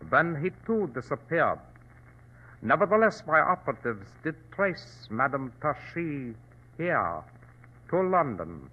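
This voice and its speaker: An actor playing a dignified, ruthless provincial governor from China, courtly and formal, in 1940s radio drama style. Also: male voice, a little high, very animated, slow pace, heavily acted. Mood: determined. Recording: thin, narrow-band old radio recording.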